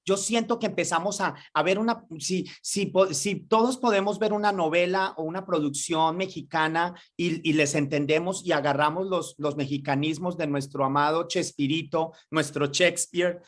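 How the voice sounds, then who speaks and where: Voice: medium pitch, normal speed, hardly any pauses. A host chatting enthusiastically in a casual interview over a video call. The host rambles through long thoughts full of fillers and restarts.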